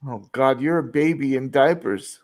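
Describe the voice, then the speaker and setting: A man's voice: medium pitch, moderate pace, darkish tone. A man in his sixties, an English teacher, chatting casually in a rambling, reflective, self-deprecating way. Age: 60s. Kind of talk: casual group chat.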